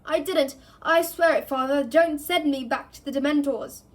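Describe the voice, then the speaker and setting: Terrified young man in chains, played by a woman, shrieking, begging, high-pitched. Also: normal speed, close to an everyday voice. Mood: pleading shrieks.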